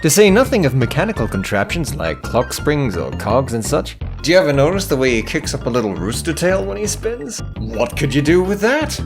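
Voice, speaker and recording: A man narrates a video essay with very lively, swooping intonation. His delivery is theatrical and sardonic, heavy on wry rhetorical questions. Music plays faintly behind him. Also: on the high side, fairly quick, few pauses. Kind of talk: scripted humorous critical commentary on video games. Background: background music.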